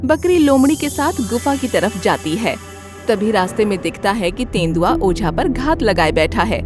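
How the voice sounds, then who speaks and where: A woman reading scripted narration as the storyteller of an animated fable. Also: medium pitch, moderate pace, music in the background.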